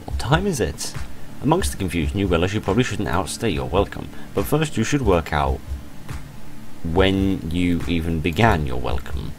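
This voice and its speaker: A man's voice: low-pitched, sing-song, moderate pace, darkish tone, low-quality recording. A man reading a visual novel aloud as a game host, mixing playful, dramatic delivery with casual commentary. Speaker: host of a game playthrough channel. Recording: music behind the voice. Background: game music.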